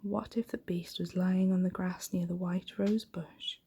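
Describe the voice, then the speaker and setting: Female ASMR storyteller: low, mellow, gently creaky voice, soft and hushed bedtime narration. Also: steady tone, measured pace.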